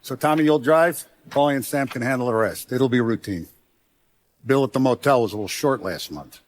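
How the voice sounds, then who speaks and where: A man voicing a middle-aged mob boss in a video game, paternal and proud, speaking deliberately and with authority in streetwise gangster talk. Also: mid-range voice, close to an everyday voice. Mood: businesslike, confiding. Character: commanding, paternal, proud mob boss, principled yet ruthless. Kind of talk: dramatic video game voice acting.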